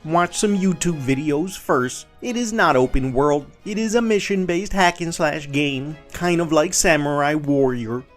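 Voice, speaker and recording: A man's voice: a little high, unhurried, hardly any pauses. A man narrating in a theatrical, exaggerated way, giving a dramatic reading of user reviews. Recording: music behind the voice. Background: background music.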